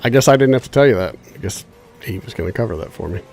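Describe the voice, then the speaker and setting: A man's voice: lowish voice, expressive, fast, darkish tone, faint music behind. A man chatting casually on a gaming livestream, with a congested, nasal sound and a laid-back, rambling way of talking. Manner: chatty, laid-back, thinks aloud with fillers. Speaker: streamer.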